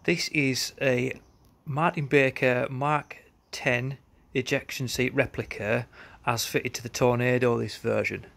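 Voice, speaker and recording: A man's voice: medium pitch, fairly even intonation, a little slow, gravelly, few pauses, fairly bright tone. A man presenting a product in an informal walkaround, matter-of-fact and a little hesitant, recorded outdoors on a handheld phone. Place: concrete yard outdoors by outbuildings. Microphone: handheld phone behind the camera.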